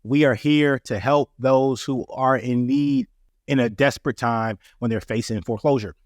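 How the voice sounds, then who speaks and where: A man hosting an instructional podcast, his voice raspy from a cold, talking in an earnest, explaining way. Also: medium pitch, fairly even intonation, normal speed, no echo. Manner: earnest, repeats "right?" and "that being said". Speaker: podcast host. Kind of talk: casual instructional podcast talk.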